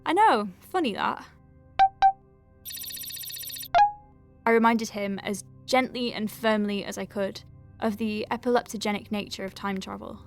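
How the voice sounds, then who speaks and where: A woman playing a conscientious, wry and compassionate doctor dictating patient notes in an audio drama, measured and articulate with conversational asides. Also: medium pitch, vocal fry, soft background music, natural voice. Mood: earnest, explanatory. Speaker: doctor at a clinic.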